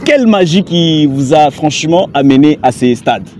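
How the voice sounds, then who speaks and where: A man's voice: a little high, animated, moderate pace, a little mellow, slight background noise. A man working as a journalist, formally introducing guests and asking them questions. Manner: formal, addressing viewers and guests. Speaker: journalist, co-host.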